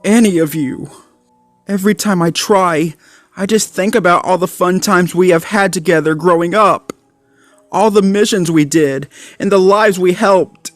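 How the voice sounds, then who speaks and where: A man voicing a clumsy young male dalmatian pup who shouts in hurt and anger at his friends. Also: high-pitched, measured pace, no echo, faint music behind, voice pushed up. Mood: tearful. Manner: shouting through tears, then choked and pleading.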